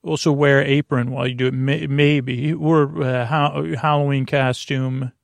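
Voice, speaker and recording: Creaky-voiced man rambling in a dry room. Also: medium pitch, level tone, measured pace, dry recording. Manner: meandering, stammering, trailing off into tangents; whimsical, goofy. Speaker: podcast host. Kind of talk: rambling bedtime podcast monologue.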